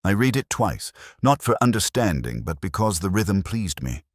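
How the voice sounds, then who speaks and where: A man reading a scripted first-person literary detective story in a measured, melancholy, reflective manner. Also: deep voice, very animated, dry recording, clean studio sound.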